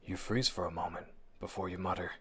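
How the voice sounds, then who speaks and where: A man giving a dramatic, deliberate, suspenseful narration of a scripted horror story in the manner of old radio drama, addressing the listener directly. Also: low-pitched, fairly even intonation, bright, forceful tone, slightly rough.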